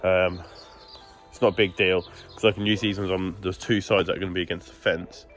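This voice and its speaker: Man, lowish voice, chatty DIY explaining, outdoors on a phone, music behind. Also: flat delivery, brisk. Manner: chatty, explaining each step. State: congested, stuffy nose. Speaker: DIY vlogger. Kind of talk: casual building update. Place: garden outdoors. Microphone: phone camera.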